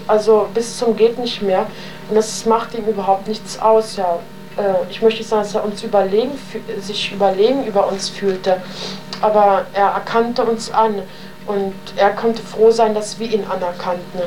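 A woman's voice: mid-range voice, steady tone, moderate pace, darkish tone. Young woman on noisy interview tape. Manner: rambling, with slangy wording. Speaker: interviewee recounting her own life. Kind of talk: spontaneous, colloquial oral testimony.

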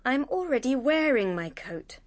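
A woman's voice: sits high, very animated, a little slow. An actress playing a discontented, jealous young woman in a radio drama, with a British accent and a petulant manner. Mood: irritated. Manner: petulant, emotional.

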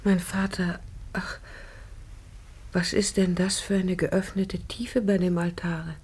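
A woman acting in a radio drama as a frightened, innocent, grieving daughter, breathy, pleading and tearful. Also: lowish voice, flat delivery, takes it slowly, a little mellow. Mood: fearful.

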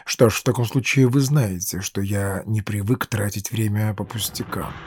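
An actor playing a stern, commanding French general in an audio drama, speaking in a curt, deliberate, authoritative manner. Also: male voice, on the low side, steady tone, a little mellow, slight background noise, close to an everyday voice. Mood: curt, grave. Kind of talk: scripted audio drama acting.

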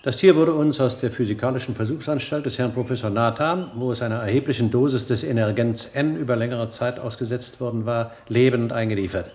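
An actor playing a confident, calculating scientist and physician in a German radio drama, brisk, clinical and assured. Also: male voice, mid-range voice, a little mellow, natural voice.

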